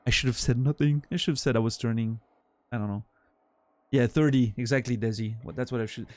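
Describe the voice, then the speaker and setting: A man's voice: medium pitch, fairly even intonation, a little fast, darkish tone, no echo. A man around forty from French-speaking Switzerland, with a Swiss French accent, chatting loosely with his stream chat as he rambles and trails off. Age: 40s. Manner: rambling, self-deprecating, trails off with fillers.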